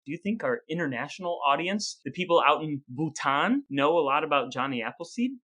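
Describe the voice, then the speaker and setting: A man's voice: on the high side, animated, fairly quick, bright, forceful tone. A man co-hosting a casual podcast with his brother, explaining a history topic at length and quizzing him along the way. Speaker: podcast co-host. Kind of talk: casual chat.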